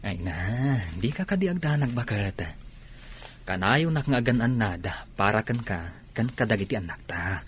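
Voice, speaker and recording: An actor with a northern Philippine accent playing a calm, soft-spoken soldier husband in a radio drama. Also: male voice, fairly low pitch, normal speed, a little hiss or hum, lightly acted. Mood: reassuring.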